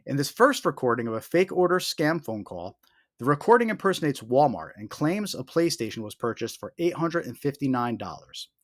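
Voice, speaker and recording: Animated man narrating an explainer, dry sound. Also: mid-range voice, brisk, no echo. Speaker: host of a scam awareness video.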